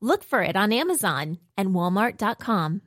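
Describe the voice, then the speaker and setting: A woman reading a scripted advertisement in a lively, upbeat manner. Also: mid-range voice, moderate pace, slight background noise. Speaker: ad reader.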